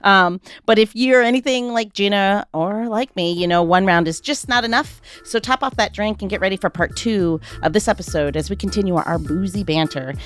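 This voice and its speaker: A woman hosting a podcast, presenting announcements in a clear conversational host's style. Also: mid-range voice, normal speed, hardly any pauses, fairly bright tone, no room sound, soft background music. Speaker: podcast host.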